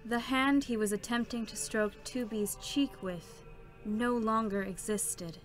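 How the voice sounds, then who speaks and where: A woman giving scripted dramatic narration as a solemn storyteller, with a measured, grave delivery. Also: a little high, lightly acted. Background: clear background music.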